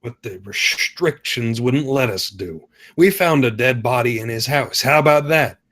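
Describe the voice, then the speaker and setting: Small-town policeman male actor, mid-pitched, defiant, curt, heavy on sarcasm, table-read drama. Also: lively intonation, unhurried, a bit bright. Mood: sarcastic, defiant. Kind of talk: screenplay table read acting.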